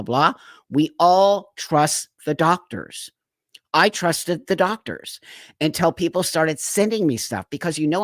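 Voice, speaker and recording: A man's voice: a little high, fairly bright tone, dry recording, clean studio sound. A middle-aged man hosting a livestream, talking casually and emphatically to his audience, often repeating himself for stress. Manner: emphatic, repetitive, conversational. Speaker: livestream host. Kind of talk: casual monologue to a live audience.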